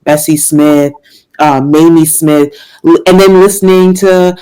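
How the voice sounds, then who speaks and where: A woman's voice: low-pitched, flat delivery, a little slow, warm tone, clear, resonant tone. Earnest young woman singer in interview. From Washington, D.C., United States. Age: young adult. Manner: earnest, faith-filled, with fillers and laughs. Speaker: jazz singer, interview guest. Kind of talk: reflective interview answers.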